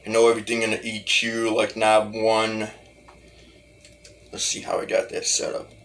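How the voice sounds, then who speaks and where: A man giving a casual, rambling demo of music gear, halting and repeating his phrases as he goes. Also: lowish voice, completely flat delivery, a bit bright, a little hiss or hum. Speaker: hobbyist musician reviewing talk box gear.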